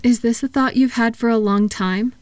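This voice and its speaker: A woman playing a kind, patient therapist in a relaxation roleplay audio, speaking softly and gently in an unhurried, reassuring way. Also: a little high, fairly even intonation, no echo, lightly acted. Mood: calm, reassuring. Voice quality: soft-spoken, gentle. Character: kind, patient female thought-calming therapist soothing a client. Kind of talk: soft-spoken roleplay relaxation audio for sleep.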